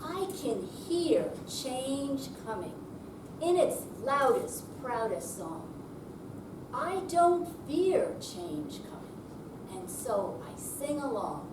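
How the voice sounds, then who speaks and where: A woman's voice: sits high, very animated, slow pace. A woman doing a dramatic verse reading in amateur readers theater. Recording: echoey, distant, noisy live room recording.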